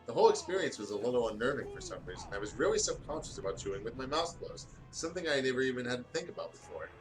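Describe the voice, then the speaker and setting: A man's voice: medium pitch, big pitch swings, racing, faint music behind, rough recording. A man reading fan fiction aloud in a casual style as a co-host of a gaming video.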